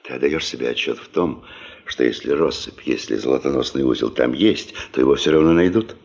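An actor playing an authoritative, sober veteran boss in a 1970s Soviet radio play, speaking in a terse, blunt, commanding way. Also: male voice, rumbling low, brisk, vocal fry, a little mellow, highs cut off. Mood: probing, stern.

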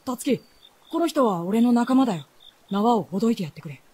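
A woman playing a resolute, cool-headed teenage boy with a husky voice in a radio drama. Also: a little high, animated, a little fast, mellow, slight background noise, natural voice. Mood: dry. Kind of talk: Japanese radio drama acting.

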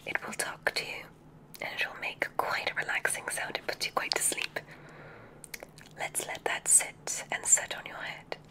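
A woman playing a kindly witch examiner at a magic school in a whispered ASMR roleplay, gentle and encouraging. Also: normal speed, lightly acted, rough recording. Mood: soothing, encouraging.

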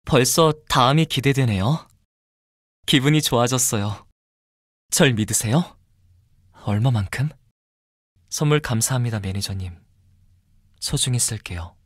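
A man voicing a polite, fastidious young man in a mobile game, softly and intimately devoted with a possessive streak. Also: mid-range voice, expressive, no room sound, clean studio sound. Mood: tender, confident. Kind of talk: mobile game character voice lines.

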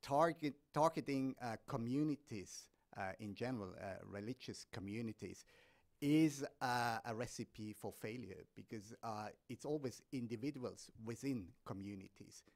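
A man answering on a panel from a conference hall stage, halting with many fillers and restarts. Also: mid-range voice, gravelly, darkish tone.

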